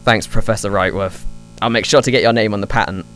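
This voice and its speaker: A man's voice: fairly low pitch, fairly even intonation, fast talker, fairly bright tone, soft background music, close to an everyday voice. An actor playing an effusive, money-minded male tech boss in an audio drama, speaking in a businesslike way. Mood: impressed, greedy. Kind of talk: naturalistic audio drama acting.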